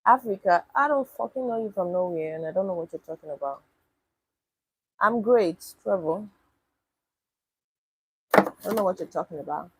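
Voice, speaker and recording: A woman's voice: on the low side, lively intonation, normal speed, pauses often, breathy edge, rough recording. A young woman, live-streaming casually and answering chat comments bluntly, with swearing. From Nigeria.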